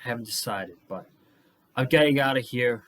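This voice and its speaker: A young man reviewing a wrestling show on his channel, losing his voice and worn out, talking excitedly with a lot of swearing and rambling. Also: mid-range voice, normal speed. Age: young adult. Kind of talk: casual solo review vlog.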